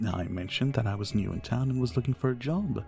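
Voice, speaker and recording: A man voicing a charming, flirtatious vampire bartender in an ASMR roleplay, speaking in a soft, courteous, teasing and unhurried way. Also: medium pitch, creaky, faint music behind, natural voice. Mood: relaxed, chatty. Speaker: roleplay audio performer. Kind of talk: ASMR roleplay, addressing the listener.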